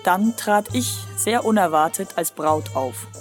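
A proud village wife and mother in her forties, carrying sorrow with composure. She recites in measured, literary radio play style over faint music. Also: fairly low pitch, animated.

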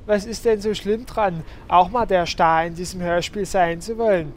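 An actor playing a vain, indignant, self-centred fantasy hero in a comedy audio play. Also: male voice, high-pitched, fairly even intonation, moderate pace, natural voice. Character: vain, whiny male fantasy hero obsessed with his hammer. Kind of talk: radio comedy acting. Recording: light background noise.

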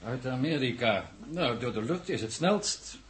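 A man's voice: mid-range voice, expressive, measured pace, lightly acted. An actor playing a doubtful male committee member in a 1960s Dutch radio drama. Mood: questioning. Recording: noisy old radio recording.

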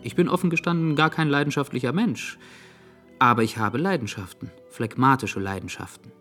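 An earnest, lovesick young gentleman played by a male actor in a radio drama. His voice sits at a middle pitch, and he muses at length in a phlegmatic yet pleading way. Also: a little fast, soft background music, close to an everyday voice. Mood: musing, plaintive.

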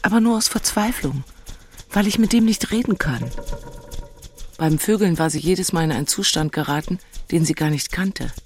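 A woman's voice: lowish voice, normal speed, a little mellow, lightly acted. An actress playing an agitated, self-reproaching woman in her forties in a radio drama inner monologue. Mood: brooding, exasperated. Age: 40s. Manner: brooding, exasperated.

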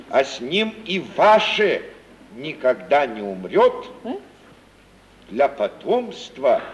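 An actor playing a florid, obliging gentleman given to lofty sentiment, declaiming grandly in the style of 1950s Russian radio theatre. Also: fairly high pitch, full character voice. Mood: rapturous, declaiming. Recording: dull old recording, distant, hissy.

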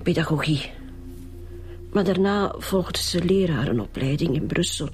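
A woman's voice: lowish voice, unhurried, lightly acted. An actress playing a subdued middle-aged mother and loyal wife in a Flemish radio drama, hesitant and polite.

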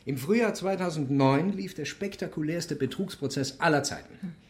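An actor playing a self-assured, dominant intellectual in a radio drama, explaining insistently in a deliberate, lecturing way. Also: male voice, a little high, a little mellow, breathy edge. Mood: lecturing, emphatic. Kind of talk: German radio drama dialogue.

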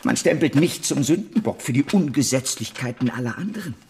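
A man's voice: medium pitch, expressive, darkish tone, natural voice. Man acting a grandiose, volatile disgraced financier. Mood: indignant, boastful. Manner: theatrical, halting, swinging between pleading and boasting. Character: disgraced, grandiose financier, ruthless, self-pitying, imprisoned. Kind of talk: German literary radio drama acting.

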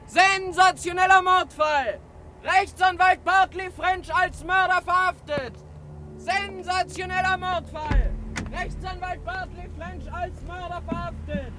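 Young news vendor, very high piercing voice, slow drawn-out loud calls, radio drama, street noise behind. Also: fairly even intonation, full character voice. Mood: urgent hawking. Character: young newspaper seller crying out headlines in the street. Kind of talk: radio play acting, street crying. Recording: faint background noise. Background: street traffic.

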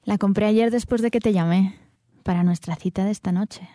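A woman's voice: mid-range voice, steady tone, fairly quick, warm tone, no room sound, studio quality, lightly acted. An actress with an accent from Spain playing a coy, pensive woman with her lover in a radio drama. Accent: Spanish, from Spain.

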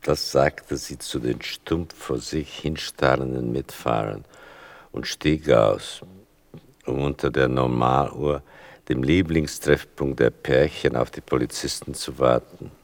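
Radio drama narrator: slow, very low, gravelly, wry and measured, literary storytelling. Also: male voice, dry recording, heavily acted. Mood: wry, fond. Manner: measured, deliberate, dryly ironic. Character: grave, ironic son recounting his mother's story.

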